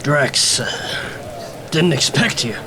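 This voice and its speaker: Man doing a gruff, harried police captain, noisy recording. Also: a little high, animated, very slow, heavily acted. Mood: weary, grudging. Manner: grudging, curt. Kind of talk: noir radio play dialogue.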